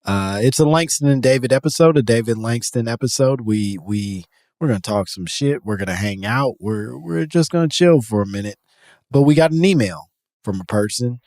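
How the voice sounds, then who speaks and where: A man's voice: on the low side, darkish tone, dry recording, clean studio sound. A man hosting a podcast in casual comedic chat, riffing with a joking, profane manner. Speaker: podcast host.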